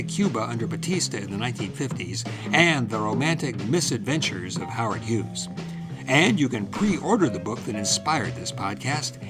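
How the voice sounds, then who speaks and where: Man, announcer, scripted podcast credits and previews, medium pitch, loud musical backing.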